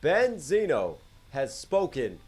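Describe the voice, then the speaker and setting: A man with a slightly hoarse voice, joking and reacting with theatrical outbursts as a YouTuber. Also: fairly high pitch, wildly swooping pitch, takes it slowly, crowd noise. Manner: jokey, theatrical exclamations. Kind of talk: animated reaction commentary.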